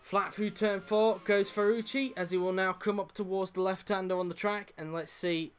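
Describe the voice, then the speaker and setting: Croaky-voiced man calling sim racing. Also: sits high, moderate pace. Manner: steady lap-by-lap play-by-play with frequent "uh" fillers. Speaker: commentator.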